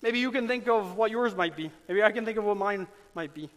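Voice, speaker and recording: Pastor, male, high voice; earnest, emphatic sermon delivery, church hall reverb. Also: fairly bright tone. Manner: earnest, emphatic preaching with dramatic pauses.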